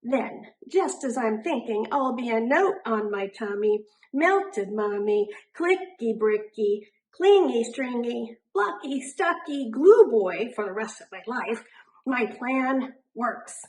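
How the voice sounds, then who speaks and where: A woman reading a children's picture book aloud in an animated way, drawing out words for emphasis. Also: on the high side, slight background noise, rough recording. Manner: animated, deliberate, drawn-out emphasis on rhyming words. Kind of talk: expressive children's storybook narration.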